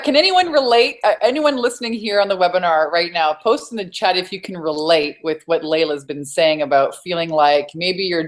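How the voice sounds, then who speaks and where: A woman hosting a live webinar, prompting listeners to post in the chat and encouraging her guest. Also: low voice. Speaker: webinar host.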